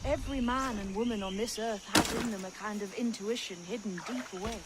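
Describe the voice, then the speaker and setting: A performer playing a young pirate companion in a video game, knowing, measured and teasing, with cryptic turns. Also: medium pitch, steady tone, close to an everyday voice. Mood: calm, mystical. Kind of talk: video game cutscene acting. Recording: clear background noise with clicks and insects.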